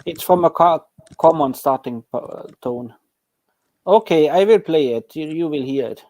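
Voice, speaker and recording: A man speaks up in an online class, his voice a little high and still hoarse from being sick. He hesitates and searches for words. Typing clicks sometimes sound behind him. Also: expressive, unhurried, a little mellow, dry recording. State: voice still hoarse after illness. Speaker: class student.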